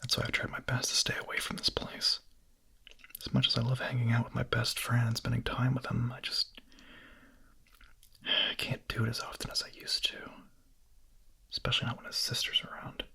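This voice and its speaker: A man playing a hungry, nervous, lovestruck young vampire in an ASMR roleplay, speaking in a breathy, husky, hesitant whisper. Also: mid-range voice, monotone, sharp tone, heavily acted. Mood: furtive, yearning. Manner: hesitant, halting, confessional.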